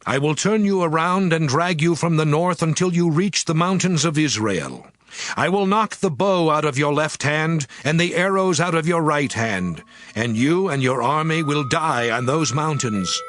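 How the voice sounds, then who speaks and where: An actor playing the Lord God as a stern, solemn divine judge, declaiming in a measured way in an audio scripture drama. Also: male voice, fairly high pitch, expressive, a bit bright, no echo. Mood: stern, wrathful. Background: music behind the voice.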